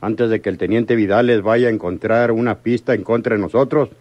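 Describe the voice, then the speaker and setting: Low-voiced Mexican crime boss played by a man. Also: a little mellow, lightly acted. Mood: grave, scheming. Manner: authoritative, deliberate. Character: cold, commanding male crime boss. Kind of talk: old Mexican radio serial acting.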